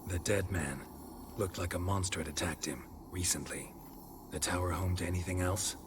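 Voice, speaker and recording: An actor playing a stoic, suspicious, world-weary monster hunter in a video game, gravelly and terse, with deadpan delivery. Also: male voice, normal speed, noisy background, lightly acted. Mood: probing, matter-of-fact. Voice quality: gravelly, low. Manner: terse, deadpan, clipped sentences. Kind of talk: dramatic video game acting.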